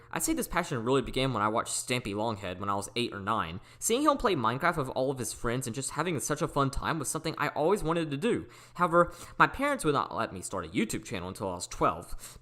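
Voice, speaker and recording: A teenage young man narrating a scripted personal story for an online video, speaking up clearly. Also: mid-range voice, sharp tone. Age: teens, almost seventeen. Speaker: YouTuber telling personal stories. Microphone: recorded at a computer or phone.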